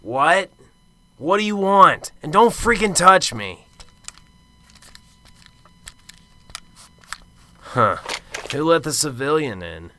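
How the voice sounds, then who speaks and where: A man playing a sarcastic, gruff detective. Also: a little high, lively intonation, a little slow, sharp tone, a little hiss or hum. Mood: irritated. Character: cynical sarcastic adult male Chicago detective. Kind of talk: amateur radio drama acting. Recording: radio drama with music underneath.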